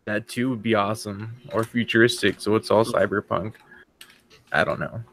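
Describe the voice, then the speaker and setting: A man's voice: lowish voice, fairly even intonation, moderate pace, fairly bright tone. A man chatting casually as a participant in a brainstorming session. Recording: faint background noise.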